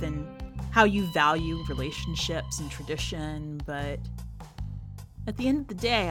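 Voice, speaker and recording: A woman podcast host narrating and commenting on literary fiction in a measured, expressive manner. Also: sits low. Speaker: podcast host and story reader.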